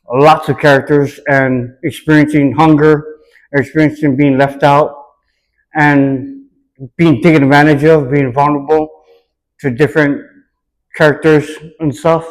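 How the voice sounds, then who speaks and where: A man from Hawaii, answering interview questions in an earnest, reflective and deliberate manner. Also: on the high side, fairly even intonation, rough recording. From Hawaii, United States.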